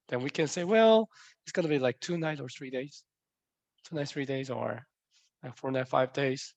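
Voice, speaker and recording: A man's voice: on the high side, creaky, no room sound. A young man from Korea with a Korean accent, a native Korean speaker teaching a language lesson in English, playful and quizzing his viewers with repeated questions.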